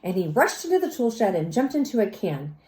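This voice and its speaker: A woman reads a children's story aloud in an expressive, teacherly way, speaking as if to young listeners. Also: mid-range voice. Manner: teacherly, pauses to question and comment. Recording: roomy, echoey sound.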